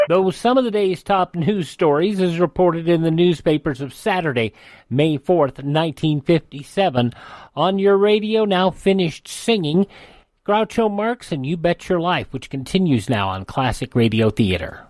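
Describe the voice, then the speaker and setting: A man's voice: a little high, a little mellow. A man reading scripted news headlines as a radio presenter.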